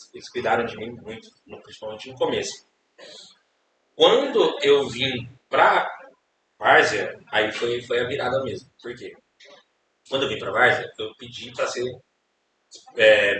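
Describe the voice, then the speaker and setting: A man's voice: mid-range voice, moderate pace, fairly bright tone. A young Brazilian man, a podcast guest, telling his life story in a casual, rambling way, repeating words and tagging questions. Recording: echoey room, distant mic, hiss.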